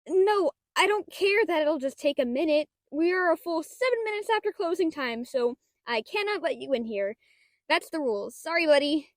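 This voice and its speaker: A woman's voice: high voice, no echo, close to an everyday voice. A woman playing a shy, nerdy, rule-bound half-dragon librarian, flustered and rambling with dry wit in an audio roleplay. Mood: flustered, officious. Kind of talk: scripted audio roleplay addressed to the listener.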